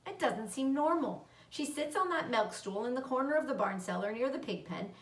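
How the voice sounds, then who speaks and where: A fretful storybook mother, acted out by a woman reader, anxious and full of questions. Her voice sits fairly high and comes from a little way off the mic in an echoey room. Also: lively intonation, normal speed. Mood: worried. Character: worried, nervous mother of a young girl. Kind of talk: children's book read-aloud. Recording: roomy echo, distant microphone.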